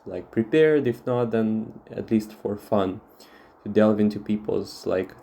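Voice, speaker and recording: A man with a Russian accent talks through a tarot reading for his viewers. His voice sits low and mellow, with a warm, dark tone. He hesitates often, filling gaps with "um" and "like" and restarting his sentences. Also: a little hiss or hum. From Moldova. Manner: hesitant, many fillers and restarts. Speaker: tarot reader. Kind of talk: casual talk to camera.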